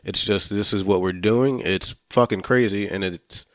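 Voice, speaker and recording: Male podcast host, very deep voice, close dry sound, hesitant and conversational, loose with swearing. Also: dry recording. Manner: halting, searching for words, casual swearing. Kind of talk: casual film review discussion.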